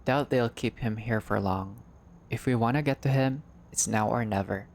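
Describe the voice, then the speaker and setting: A man's voice: mid-range voice, moderate pace, creaky, natural voice. Man reading as a blunt vengeful vampire woman, noisy background. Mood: firm, urging.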